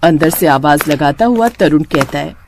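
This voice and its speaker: उतार-चढ़ाव से कहानी सुनाती महिला. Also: female voice, sits low, animated, normal speed, darkish tone, a little hiss or hum. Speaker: storyteller. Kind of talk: scripted animated storytelling narration.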